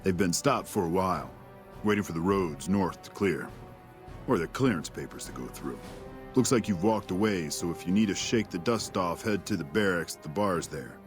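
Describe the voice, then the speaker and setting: An actor playing a weary, cynical soldier at a desert outpost in a video game, terse and dry. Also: male voice, low-pitched, lively intonation, moderate pace. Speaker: army sergeant character. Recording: music behind the voice.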